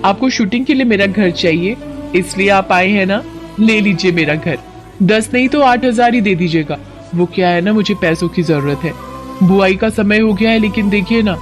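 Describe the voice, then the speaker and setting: A woman's voice: mid-range voice, lightly acted. A woman playing a naive, good-hearted young village woman in a Hindi cartoon story, speaking simply and earnestly. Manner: simple, chatty, deferential, earnest. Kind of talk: Hindi animated story voice acting.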